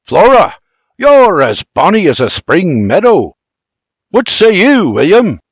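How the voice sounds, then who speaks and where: A man's voice: on the high side, expressive, measured pace, a bit bright, no room sound, clean studio sound, pitched above the performer's own voice. A man doing a teasing bearded farmer. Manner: barking, teasing. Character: bearded Scottish farmer father, gruff and teasing.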